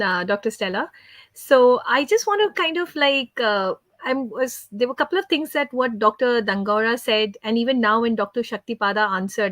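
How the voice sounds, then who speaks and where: A middle-aged woman from India speaks as a panelist, in a conversational way that often stops and restarts mid-thought. Her voice sits somewhat high. The sound is dry, with no room around it. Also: a bit bright, no room sound. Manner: conversational, self-correcting. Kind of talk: online panel discussion.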